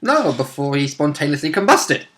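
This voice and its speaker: A man's voice: fairly high pitch, very animated, normal speed, a bit bright. A man chatting casually as a player in a tabletop role-playing game. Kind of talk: casual chat.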